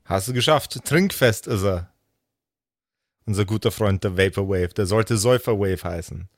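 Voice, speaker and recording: A man's voice: lowish voice, moderate pace, no echo, studio quality. A man improvising as game master of a tabletop roleplay, playful and sprinkling in English slang. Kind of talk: improvised narration and banter.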